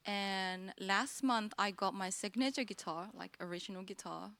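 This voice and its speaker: A woman musician chatting as a guest, talking haltingly and searching for words with frequent giggles. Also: medium pitch, a bit bright, no echo. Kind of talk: casual interview chat.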